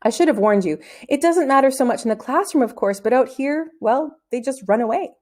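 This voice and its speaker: A brisk female schoolteacher from a storybook, acted by a woman reader. She is unbothered and matter-of-fact, with dry humour and a fairly high, expressive voice. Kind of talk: children's storybook read-aloud.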